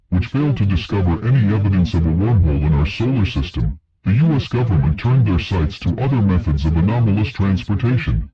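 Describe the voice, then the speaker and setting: A man narrating a fictional government project report in a formal, detached, official manner. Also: deep voice. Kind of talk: scripted documentary narration, analog horror. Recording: dull lo-fi, radio-like narration track.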